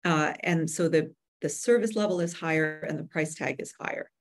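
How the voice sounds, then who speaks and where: A woman in her forties giving measured, explanatory answers as an expert guest on a webinar video call. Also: deep voice. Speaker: guest expert, hybrid publisher. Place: room with bookshelves at home or office, indoors. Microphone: computer video call.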